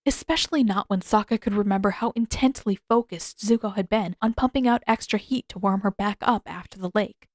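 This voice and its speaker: A woman's voice: medium pitch, brisk, hardly any pauses, no echo. Woman narrating a story, very dry sound. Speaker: audiobook-style fan fiction reader.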